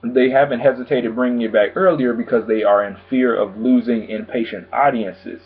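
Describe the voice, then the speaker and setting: A man vlogging about TV news in a casual, chatty way, calm and low-key, with lots of filler phrases. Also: moderate pace, slightly rough. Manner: chatty, frequent "pretty much" and "you know what I'm saying". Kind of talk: casual chat to camera. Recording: tinny phone-like sound.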